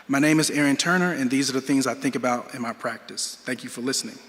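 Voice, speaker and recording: A man speaks with a bright, forceful tone, each word ringing out through the long echo of a large hall. His delivery is measured and reflective, a prepared talk about his art that moves through questions at an even pace. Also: mid-range voice. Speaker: artist giving a talk. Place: museum auditorium.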